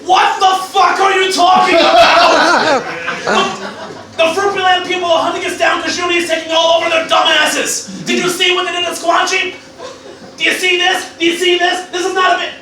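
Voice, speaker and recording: A man playing a foul-mouthed, drunken old mad scientist who rants and shouts in exasperation in a parody radio play. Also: very high-pitched, level tone, moderate pace, a crowd in the background. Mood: frantic anger. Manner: agitated, shouting, exasperated, swearing. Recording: echoey room, distant mic, background noise.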